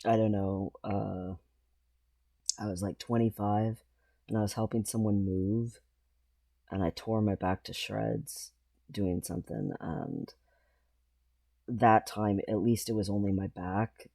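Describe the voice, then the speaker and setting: A man talking casually in a personal vlog, rambling and halting, with frequent pauses. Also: lowish voice, gravelly, mellow, no room sound. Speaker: vlogger.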